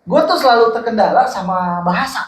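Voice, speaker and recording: A man with a Manado accent co-hosting a casual podcast chat, talking in loose, halting slang with restarts. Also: extremely high pitch, animated. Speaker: podcast co-host. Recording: roomy echo with faint background noise.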